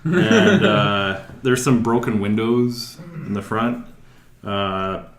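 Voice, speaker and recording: A man narrating as dungeon master, describing scenes in a relaxed way with many fillers. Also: fairly low pitch, slow pace, reverberant. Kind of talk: narrating a tabletop game.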